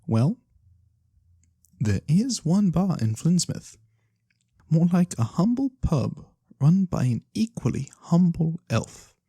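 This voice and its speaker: Picture a mysterious, not-quite-human keeper of tales in a fantasy realm, as an ASMR performer plays the part. The voice is soft, breathy and slow, recorded close and dry. The delivery is sly and theatrical, with lively swoops in pitch and deliberate pauses. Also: no room sound, heavily acted. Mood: knowing, sly. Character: sly mysterious nonhuman lorekeeper, fortune-telling storyteller for coin. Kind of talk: soft-spoken ASMR fantasy roleplay, storytelling.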